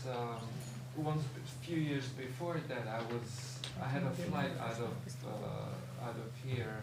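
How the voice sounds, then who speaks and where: A man in his fifties, a visiting monk, speaking in a relaxed, unhurried way with light laughs as he introduces himself in a temple hall. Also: medium pitch, gravelly. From Croatia. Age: 50s. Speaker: visiting monk giving a talk. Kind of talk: informal talk, introducing himself. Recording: echoey room, voice far from mic, background noise.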